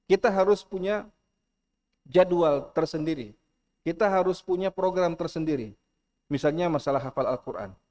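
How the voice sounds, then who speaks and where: A middle-aged man with an Indonesian accent giving an earnest lecture in a mosque, repeating key phrases and reciting Arabic slowly. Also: on the high side, a little mellow. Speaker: preacher.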